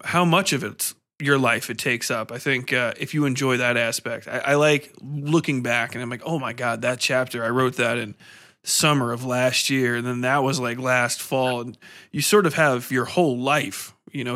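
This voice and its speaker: A man interviews a guest for a podcast in a loose, chatty style. His voice is bright and forward at an ordinary male pitch. He is encouraging and rambles a little as he talks. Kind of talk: casual conversational interviewing.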